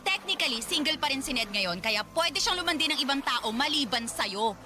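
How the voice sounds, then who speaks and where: A woman's voice: high voice, expressive, fairly quick, bright, forceful tone, soft background music. A woman acting a brazen, snippy young romantic rival in a Filipino radio drama, taunting and dismissive.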